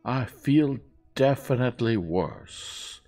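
A man's voice: deep voice, big pitch swings, measured pace, gravelly, slightly rough, soft background music, full character voice. Man acting a snappish, imperious old lady. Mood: snappish, irritated. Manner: snappish, commanding, condescending. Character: imperious, cantankerous old wealthy lady, always fault-finding. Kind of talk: audiobook character acting.

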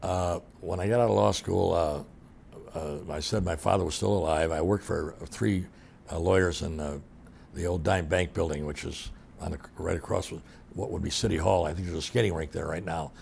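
A very deep, gravelly voice from a man in his eighties, reminiscing at length in an office interview. He rambles, restarts and fills pauses as he goes, with a faint hiss of room noise behind him. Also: steady tone, a little mellow. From Detroit, Michigan. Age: 80s. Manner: reflective storytelling with frequent fillers and restarts. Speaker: interviewee, former state attorney general. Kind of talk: oral history interview. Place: office with bookshelves, indoors.

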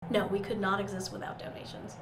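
A woman's voice: medium pitch, monotone, quick pace. A middle-aged woman doctor answering news interview questions in a small exam room. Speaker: doctor, clinic medical director. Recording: clearly noisy clinic room ambience.

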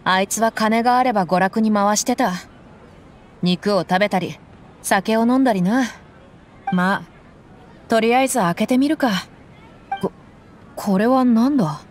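A woman's voice: a little high, a little hiss or hum, lightly acted. An actress playing a tough, reflective desert mercenary woman, speaking in a blunt, tomboyish way in anime game style. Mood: blunt, musing. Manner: blunt, tomboyish rough speech. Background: background music.

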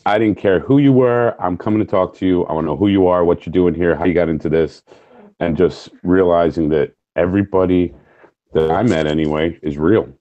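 Deep-voiced joking man on video call. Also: fairly quick, phone-quality sound. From Orange County, New York. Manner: jokey, candid, laughs easily. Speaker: guest, firefighter. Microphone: earphone headset on video call.

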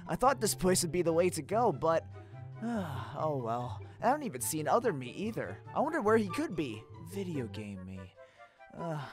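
A woman voicing a nervous, cocky young male cartoon hedgehog, rambling and stammering in a comic dub. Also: deep voice. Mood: awkward, rambling. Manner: rambling, stammering, easily flustered. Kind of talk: fan comic dub voice acting.

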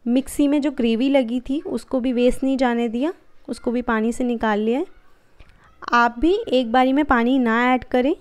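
High, smooth-voiced woman cooking host. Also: moderate pace. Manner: calm, instructive, friendly. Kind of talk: step-by-step recipe voice-over.

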